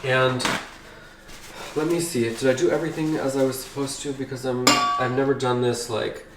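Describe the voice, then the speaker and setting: A man chatting casually while baking at home, rambling and easily excited. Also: medium pitch, moderate pace, very noisy. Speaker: vlogger. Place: home kitchen. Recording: echoey kitchen, slightly distant mic.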